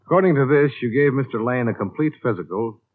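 An actor playing a dogged, dry insurance investigator in a 1950s radio detective drama, clipped and matter-of-fact. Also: male voice, mid-range voice, fast, natural voice. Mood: businesslike, probing. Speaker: lead actor and narrator. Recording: tinny, noisy old radio recording.

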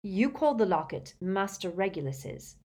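A woman voicing a fierce, impatient teenage boy hero in a storybook, forceful and demanding as he questions and gives orders. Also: mid-range voice, sing-song, normal speed.

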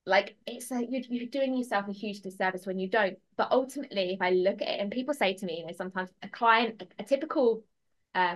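A woman's voice: medium pitch, a bit bright. A woman speaking as a podcast guest and mentor, in casual coaching talk with an emphatic, encouraging manner.

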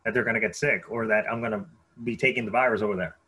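A man's voice: lowish voice, fairly even intonation, racing, bright, forceful tone, slightly rough, low-quality recording. A man in his thirties with a Puerto Rican accent, telling his story conversationally as a podcast guest, often repeating words as he goes. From Puerto Rico.

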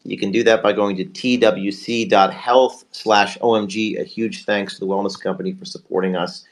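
A male host of a live online talk show, hoarse from a cold, talking in a rapid, rambling way as he promotes sponsors and questions guests. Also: lowish voice, level tone.

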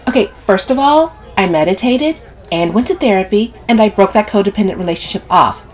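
A woman's voice: mid-range voice, sing-song, normal speed, darkish tone, voice pushed up, lightly acted. A woman narrator voicing an earnest, defensive, moralizing woman in an audiobook story, insistent and pleading. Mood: defensive, snappish.

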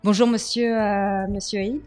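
A woman's voice: medium pitch, level tone, unhurried, a little mellow. Polite woman hosting, faint music behind. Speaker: podcast host. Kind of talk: formal interview with prepared questions.

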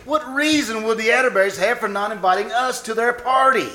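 A man's voice: very high voice, brisk, sharp tone. A man playing a teasing, wisecracking, good-natured husband in a theatrical 1940s radio sitcom style. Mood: dismissive, amused. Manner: jokey, theatrical reactions. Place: live performance at a winery. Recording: live stage recording, faint background noise.

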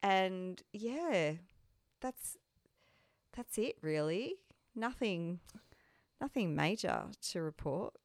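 An Australian woman co-hosting a casual podcast chat, a mother, easygoing and quick to laugh. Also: medium pitch, expressive, dry recording, clean studio sound. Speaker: podcast co-host.

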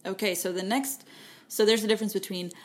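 A woman with a Texan accent, co-hosting a casual faith-based podcast in a lively, playful way, with quick asides. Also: mid-range voice. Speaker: podcast co-host.

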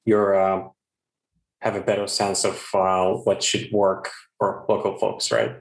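Low-voiced male podcast host in an echoey room. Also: level tone. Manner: halting, with filler words. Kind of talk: podcast interview hosting.

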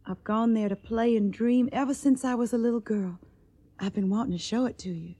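An actress playing an earnest, devoted young Tennessee daughter in a radio drama, with a soft, breathy, tender way of pleading. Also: female voice, fairly high pitch, brisk. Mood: eager, tender.

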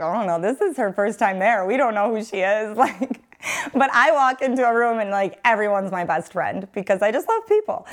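Chatty young woman guest, laughing. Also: mid-range voice. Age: 20s. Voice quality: loud voice held back to a calm tone. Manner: outgoing, laughs often, chatty. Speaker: podcast guest. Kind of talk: casual chat.

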